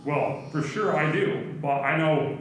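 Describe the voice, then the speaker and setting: A man's voice: on the high side, unhurried, a little hiss or hum, close to an everyday voice. A man playing a polite, inquisitive inventors' show host in an improvised radio comedy sketch. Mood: polite, curious. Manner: courteous, inquisitive presenter. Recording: very echoey room, distant mic, music behind.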